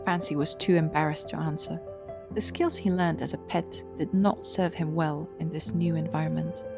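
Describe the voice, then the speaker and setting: Woman storyteller, lowish pitch, gravelly fry, scripted narration, music bed. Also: steady tone, moderate pace.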